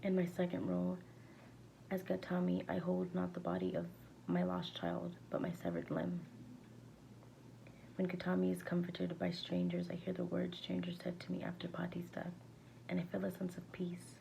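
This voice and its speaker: A woman reads verse aloud in a slightly low, very creaky voice with a soft, dark tone. Her delivery is halting, with words now and then stumbled over and read again. There is faint noise behind her. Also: rough recording. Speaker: amateur reader. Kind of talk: reading a verse novel aloud.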